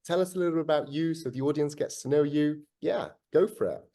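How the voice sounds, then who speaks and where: Guy hosting a podcast, soft mellow voice a bit on the high side, upbeat and effusive, repeats himself. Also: quick pace. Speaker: podcast host. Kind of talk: upbeat interview chat.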